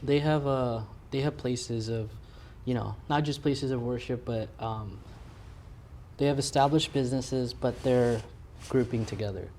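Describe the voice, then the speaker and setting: A young adult man as an interview guest, earnest and halting, full of fillers and restarts. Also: mid-range voice, dark tone, a little hiss or hum. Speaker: community organization guest. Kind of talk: casual interview chat.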